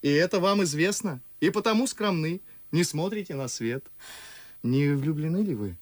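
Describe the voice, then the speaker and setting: A man's voice: a little high, expressive, moderate pace, dry recording, close to an everyday voice. An actor playing an ardent, witty, mocking young man in love in a verse radio play, with theatrical delivery. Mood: teasing. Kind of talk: classic verse stage acting for radio. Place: radio studio.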